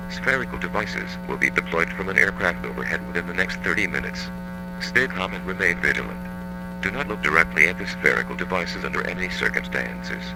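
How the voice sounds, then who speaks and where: Deep gravelly male announcer over loud music. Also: animated, poor audio. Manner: formal, measured, impersonal. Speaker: government alert announcer. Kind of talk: scripted emergency announcement.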